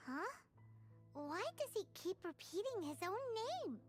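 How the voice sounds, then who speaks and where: Little game fairy girl, piping high voice, childlike, animated, cheeky and blunt. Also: unhurried, faint music behind, full character voice. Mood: puzzled. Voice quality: squeaky, childlike. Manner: puzzled, exclamatory, cheeky. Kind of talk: video game voice acting.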